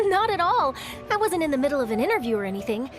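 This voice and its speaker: A bubbly young reporter. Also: female voice, high voice, very animated, fast, over music. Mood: upbeat. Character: cheerful young woman reporter, chatty and upbeat. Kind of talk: video game voice acting.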